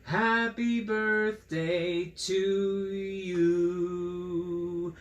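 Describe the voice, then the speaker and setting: A man reading aloud and chatting to children in a playful, silly, theatrical way. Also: a little high. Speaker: children's story reader and host. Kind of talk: read-aloud and chat for children. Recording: roomy echo, clear background noise.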